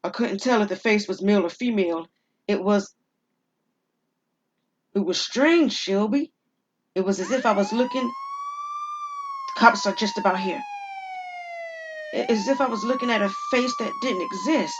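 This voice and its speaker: A woman's voice: a little high, wildly swooping pitch, unhurried, pauses often, lo-fi sound, slight background noise, voice pushed up. Woman playing a rattled, insistent husband. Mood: uneasy, placating. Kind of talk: audio drama dialogue.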